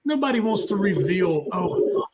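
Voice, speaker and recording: Laid-back man hosting, slightly high voice. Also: big pitch swings, a little slow, over a noisy crowd. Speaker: host of a live music talk show. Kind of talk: casual unscripted interview chat. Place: remote live stream call.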